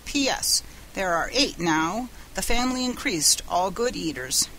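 A woman's voice: mid-range voice, animated, a little slow, a bit bright. Woman doing a stubborn express agent, noisy recording. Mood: indignant, dogged. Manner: folksy, ungrammatical, dogged. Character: stubborn, sardonic Irish express agent, a man.

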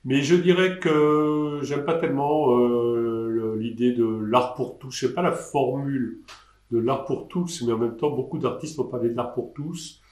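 A man's voice: mid-range voice, fairly even intonation, measured pace, darkish tone. Older guy at his desk on a webcam, rambling artist, lots of restarts, roomy sound. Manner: hesitant, rambling, many restarts. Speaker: artist answering questions. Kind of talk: live online Q&A. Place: indoor room at a desk.

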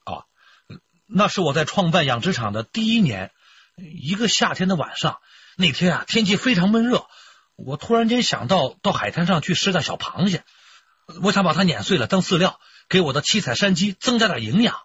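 An actor playing a rough, boastful, lovestruck fisherman questioned by police in a radio drama, folksy and rambling with a husky voice. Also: high-pitched, big pitch swings, normal speed, a bit bright, highs cut off, exaggerated voice. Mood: smitten, rambling.

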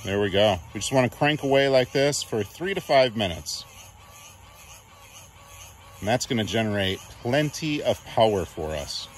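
A man's voice: lowish voice, animated, normal speed, slight background noise. A man giving a casual, playful hands-on product demo in a chatty, informal way. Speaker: product reviewer.

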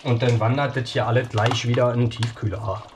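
A man's voice: medium pitch, steady tone, brisk, noisy background. A man in his thirties hosting a casual home cooking video, chatting with lots of fillers as he works in a small kitchen, picked up by a camera mic across the room. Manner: chatty, many fillers, talks while cooking. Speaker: cooking show host.

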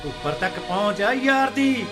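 A man's voice: sits high, animated, measured pace, music in the background. A man acting a stubborn, hot-tempered Punjabi farmer landlord in a radio play, combative and sarcastic. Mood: angry, defiant.

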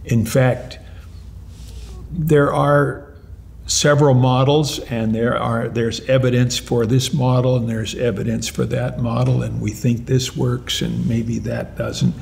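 An older man, a retired mathematics professor, answering interview questions in an animated way, often restarting and repeating words. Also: mid-range voice, takes it slowly, vocal fry. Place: lounge-like room with armchairs and lamps, indoors.

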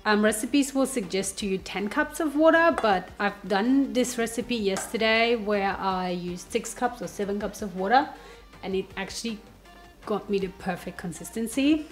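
A woman's voice: mid-range voice, moderate pace. Sniffly woman casually chatting through cooking. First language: German. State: sniffly with a cold. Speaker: home cook vlogger. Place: home kitchen, indoors. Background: background music.

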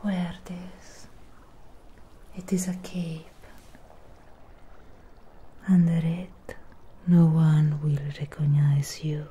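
Female Frankenstein creature, played by a woman, devoted and possessive. Low, hushed ASMR whisper with very little pitch movement, soothing, close-miked. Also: level tone, slightly rough, full character voice. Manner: whispered, slow, soothing, drawn-out phrases. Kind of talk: ASMR roleplay, close-up whispering and soft talking. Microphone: close-up microphone.

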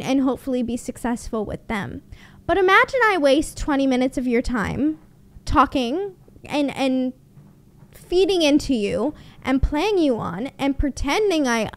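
A young woman with a high voice talks close into a studio microphone in a small room at home. She tells a story as a podcast host, casual and blunt, with dry sarcasm and some swearing. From Canada. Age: young adult. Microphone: studio mic on boom arm, close.

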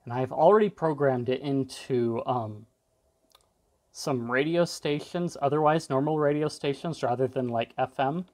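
A man's voice: mid-range voice, unhurried, a little mellow. A man giving a casual, off-the-cuff tech demo, rambling a little and trailing off mid-thought. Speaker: tech video creator demonstrating a device.

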